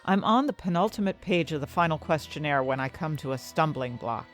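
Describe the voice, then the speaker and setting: A woman's voice: deep voice, animated, fairly quick, soft background music. A woman acting an anxious, desperate young heroine who tells her own fantasy story in intense, dramatic first-person narration. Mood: uneasy. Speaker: narrator and main character of an audio fiction story.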